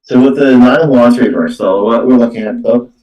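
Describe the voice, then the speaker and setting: A man giving a live psychic card reading, with halting, repetitive phrasing full of verbal tics. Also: medium pitch, lo-fi sound. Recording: echoey room, distant from microphone.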